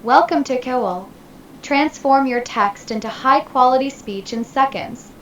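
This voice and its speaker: High pitched ai lady reading promo copy, dull roomy sound. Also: a little slow, fairly bright tone. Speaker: text-to-speech demo voice. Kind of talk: scripted promotional narration. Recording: dull, roomy playback, faint noise.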